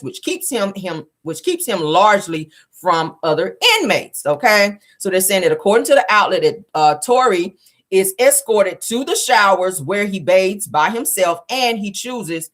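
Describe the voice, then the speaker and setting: A woman hosting a casual gossip show, sassy and colloquial, repeating phrases for emphasis. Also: on the low side. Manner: sassy, animated asides. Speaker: online show host. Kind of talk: casual celebrity gossip commentary.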